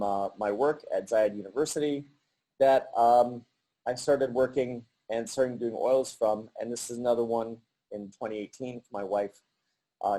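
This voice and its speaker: Hesitant man with a mellow voice. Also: mid-range voice, poor audio. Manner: halting, many fillers and restarts. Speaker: artist presenting his work. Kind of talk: virtual artist talk.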